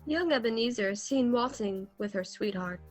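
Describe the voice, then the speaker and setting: A woman playing the measured storyteller, reading scripted narration for a radio play recorded over a video call. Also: a little high, expressive, over music, close to an everyday voice.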